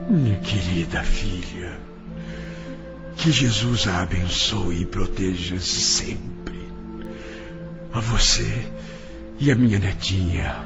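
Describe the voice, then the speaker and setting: A man's voice: deep voice, muffled recording, exaggerated voice. An actor with a Brazilian accent playing a humble, repentant older father who is now a spirit in an audio drama, earnest and pleading. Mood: tender, moved.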